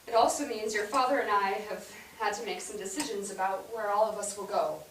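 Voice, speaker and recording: A woman on stage playing a weary mother who gently but firmly explains hard news to her sons. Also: medium pitch, a little fast, lightly acted. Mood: gentle, explaining. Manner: gentle but firm, matter-of-fact maternal explaining. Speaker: stage actor. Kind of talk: staged reading of a period family drama. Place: indoor theatre stage, recorded from afar. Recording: roomy theatre, distant mic, hissy background.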